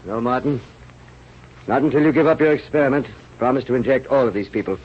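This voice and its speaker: A man's voice: mid-range voice, fast, close to an everyday voice. An actor in a 1930s radio drama playing a boisterous, big-hearted male adventurer and rat catcher, declaiming in bombastic broken English. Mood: defiant, stern. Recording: muffled, noisy old radio recording.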